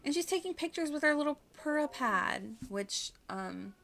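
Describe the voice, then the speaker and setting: A woman's voice: high-pitched, animated, normal speed, vocal fry, a bit bright. Chatty, giggly woman streaming a game. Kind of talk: casual playthrough commentary.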